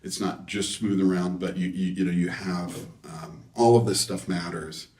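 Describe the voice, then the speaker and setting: A man with a low voice talks through technical design in a casual interview. He explains haltingly, restarting phrases as he goes. The sound is roomy, picked up at some distance from the microphone. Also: level tone, darkish tone. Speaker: loudspeaker designer being interviewed. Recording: echoey room, distant microphone.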